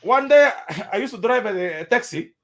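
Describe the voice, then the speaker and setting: Animated South Sudanese man, high lively voice. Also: moderate pace. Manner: animated, repeats words, mixes in Arabic phrases. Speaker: interviewee. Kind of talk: casual spoken commentary.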